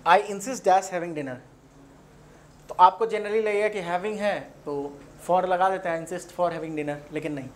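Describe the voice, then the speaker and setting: A man's voice: high voice, steady tone, slow pace. A man with an Indian accent teaching English grammar in an informal lecture, explaining with example sentences and repeating key points. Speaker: English grammar teacher at an exam coaching class.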